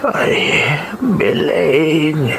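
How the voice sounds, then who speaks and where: A man's voice: fairly high pitch, lively intonation, drawn-out words, gravelly, background noise, heavily acted. A man with an Ilocano accent playing a worried, ailing middle-aged husband and father in a radio drama.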